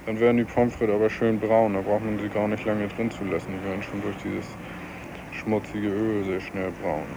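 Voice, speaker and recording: Flat low-voiced man on noisy tape. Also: normal speed. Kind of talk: casual remarks in an audio collage. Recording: noisy cassette with buzzing ambience.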